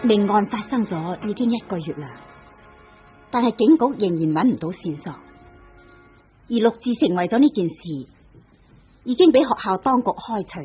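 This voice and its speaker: A woman giving scripted dramatic narration for an old radio play in a measured storytelling cadence. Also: mid-range voice, animated, breathy edge, soft background music. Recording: dull lo-fi old radio recording.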